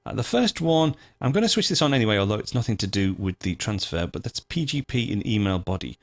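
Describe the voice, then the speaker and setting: A man with a fairly low voice narrates a screencast tutorial. His intonation moves up and down a lot as he explains each step methodically and informally. The sound is dry and close to the microphone. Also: sing-song, fast, vocal fry, dry recording. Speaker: host of a software tutorial show.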